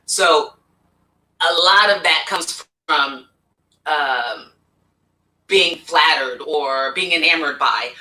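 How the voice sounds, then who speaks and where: Podcast host, woman, curious and chatty, bright tone, echoey room away from the mic. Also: low-pitched, slow pace, pauses often. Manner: curious, chatty, asks probing questions. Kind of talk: casual podcast interview. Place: home studio. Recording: roomy, distant from the mic.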